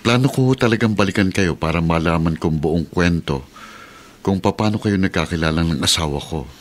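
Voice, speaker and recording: An actor playing a concerned middle-aged husband and father in a Filipino radio drama, earnest and a little halting. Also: low voice. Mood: polite.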